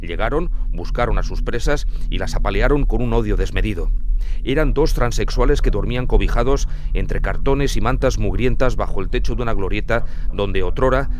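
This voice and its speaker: A man's voice: lowish voice, moderate pace, slight background noise. A middle-aged man from Spain with a Spanish accent, narrating scripted true crime as a journalist in dramatic, literary phrasing.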